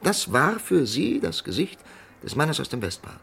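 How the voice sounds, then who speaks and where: An actor playing a persistent, probing doctor in a German radio crime drama, with an insistent, questioning manner. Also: male voice, fairly high pitch, huge pitch swings, normal speed, vocal fry, fairly bright tone, exaggerated voice. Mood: probing, controlled. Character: persistent, probing male doctor challenging a death sentence. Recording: faintly noisy vintage radio drama recording.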